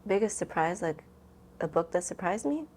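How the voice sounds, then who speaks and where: A woman's voice: lowish voice, expressive, normal speed, a little mellow, slight background noise. A woman book vlogger talking casually and informally, rambling and thinking aloud as she answers questions. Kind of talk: casual chatty vlog, answering tag questions.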